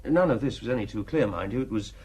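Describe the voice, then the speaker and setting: A man's voice: lowish voice, expressive, quick pace, mellow, husky. An actor playing a cynical, defiant male painter in a radio drama, telling his tale wryly and without remorse. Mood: musing.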